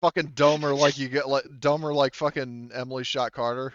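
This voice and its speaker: A man's voice: medium pitch, fairly even intonation, a little fast, fairly bright tone, no echo, lo-fi sound. A man acting as game master, casually narrating a tabletop roleplaying game, swearing and laughing readily.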